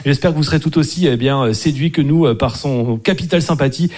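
A man's voice: fairly high pitch, fairly quick, darkish tone. A man hosting a comedy club, introducing the next comedian with enthusiasm. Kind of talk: stage introduction.

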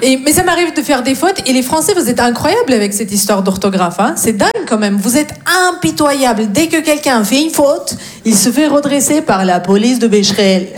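A woman's voice: high voice, expressive, a little fast. A woman with a Russian accent, a native Russian speaker, performing a cheeky, teasing stand-up monologue with some swearing to a live audience. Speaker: stand-up comedian. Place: live comedy stage before an audience.

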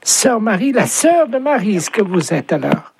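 A man's voice: high-pitched, very animated, measured pace, gravelly, darkish tone, slightly rough, no echo, exaggerated voice. A man voicing a hard-of-hearing, chatty and stubborn old lady in a radio prank phone call, fussy and sweetly condescending. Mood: stubborn, confused.

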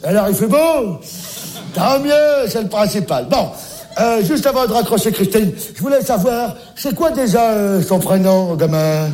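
A man's voice: high voice, animated, unhurried, noisy background. A man playing a scatterbrained grandfather on a comic phone call, rambling, forgetful and repeating himself. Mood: bumbling. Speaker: comic sketch character. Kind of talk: comic one-sided phone call sketch.

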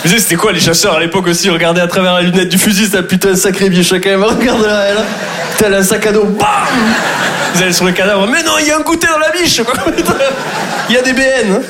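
High-pitched french comic guy, chatty stand-up, lots of laughing, crowd noise in the room. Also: quick pace. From southwest France. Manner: chatty, laughing, acts out voices. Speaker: stand-up comedian. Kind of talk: stand-up comedy set.

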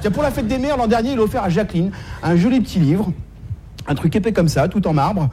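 High-pitched, fast-talking male stand-up comedian. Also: lively intonation, mellow. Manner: slangy, streetwise, mock-naive storytelling.

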